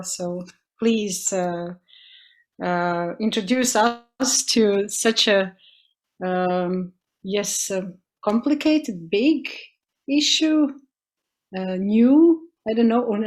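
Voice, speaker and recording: A woman hosting an online lecture, introducing the guest speaker with many pauses and fillers. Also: medium pitch. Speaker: lecture host. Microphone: video call.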